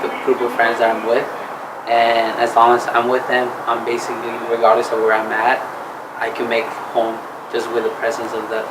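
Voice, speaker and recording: A young man from Mexico speaks in a flat, even voice, pausing and restarting often as he answers. A clear hum of air conditioning runs behind him throughout. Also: mid-range voice. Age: young adult. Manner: halting, full of "like" and restarts. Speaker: student interviewee. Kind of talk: casual interview answer.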